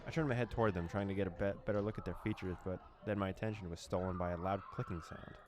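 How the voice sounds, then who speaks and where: A young man with a low, deep voice reads a horror visual novel aloud to his viewers. He breaks off from the text now and then with quick reactions and short laughs. A faint hum of game sound sits behind him. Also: fairly even intonation. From former USSR. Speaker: let's play host.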